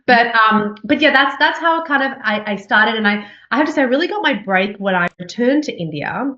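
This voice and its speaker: A woman's voice: on the high side, animated, fairly quick, bright, forceful tone. A woman in her forties with an Australian accent, talking about her career as a journalist in a chatty interview, with many fillers and restarts and easy laughter. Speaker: journalist and news anchor being interviewed. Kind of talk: conversational interview. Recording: echoey room, slightly dull sound.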